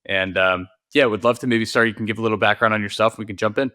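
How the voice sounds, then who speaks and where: A man hosts a podcast interview, upbeat and friendly, leading into his questions with the odd filler. His voice is fairly low but bright and forward. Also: fairly even intonation, fast. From Newton, Massachusetts. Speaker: podcast host.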